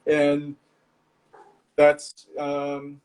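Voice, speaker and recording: A man from Indonesia, a startup founder, explaining his business in a measured way over a video call, with some fillers. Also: on the high side, monotone, darkish tone, rough recording.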